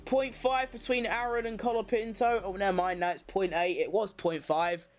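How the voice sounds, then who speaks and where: A woman's voice: mid-range voice, fairly even intonation, normal speed, faint music behind. Casual woman doing live race commentary. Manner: informal, slangy, thinking aloud. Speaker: livestream watchalong host.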